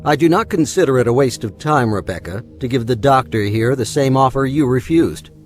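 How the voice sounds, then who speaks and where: A man's voice: medium pitch, lively intonation, brisk, faint music behind, natural voice. Man acting a pompous, wealthy recruiter. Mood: smoothly persuasive. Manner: formal, pompous. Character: smooth, arrogant wealthy man recruiting doctors, self-important. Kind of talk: audio drama acting.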